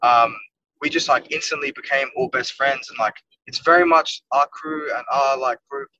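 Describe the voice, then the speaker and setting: A man's voice: medium pitch, moderate pace, bright, forceful tone. Rambling young man on a lo-fi call. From Wales. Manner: rambling, heavy use of "like" and "you know what I mean". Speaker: music producer guest. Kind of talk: casual interview chat. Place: inside a car. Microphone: phone or laptop camera on a video call. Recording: dull lo-fi video call audio from a distance.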